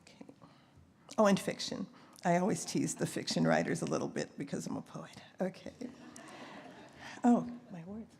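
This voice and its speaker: A woman's voice: lowish voice, moderate pace, vocal fry, pauses often, darkish tone. A woman presenting awards at a live ceremony in an informal, chatty way, with asides to herself as she goes. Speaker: poet. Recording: faint background noise, live event microphone.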